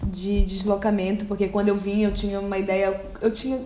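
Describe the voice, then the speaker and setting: Young female host, higher voice, echoey room with faint hiss. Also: flat delivery, fairly quick, warm tone. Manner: conversational, frequent affirming backchannels. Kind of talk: casual interview chat. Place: sunny living room, seated on a sofa.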